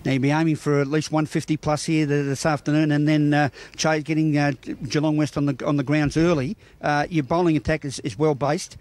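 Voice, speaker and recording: A man's voice: on the high side, little pitch movement, fairly quick, few pauses, a little mellow. An Australian man working as a sports reporter, interviewing for local television in formal, wordy broadcast phrasing.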